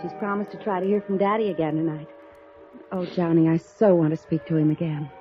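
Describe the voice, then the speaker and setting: A woman's voice: fairly low pitch, steady tone, fairly quick, close to an everyday voice. A woman playing an earnest, hopeful young woman in a 1950s radio drama. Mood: yearning. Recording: dull old radio recording with music.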